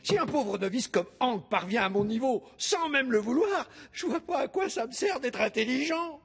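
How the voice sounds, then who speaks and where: A man's voice: very high-pitched, lively intonation, normal speed, gravelly, slightly rough, full character voice. A man voicing a brainy, proud, hot-tempered dog in a children's cartoon, with a pompous, indignant manner. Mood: smug, lecturing. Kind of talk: animated children's series dubbing.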